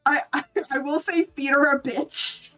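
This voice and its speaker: A high-pitched woman streams casually to her viewers about her drawings. Her talk is playful and informal, with some swearing. She sounds a bit distant from the mic and muffled, with music behind her. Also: steady tone, a little slow, a bit bright. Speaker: streamer, VTuber artist. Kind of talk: casual livestream chat showing drawings.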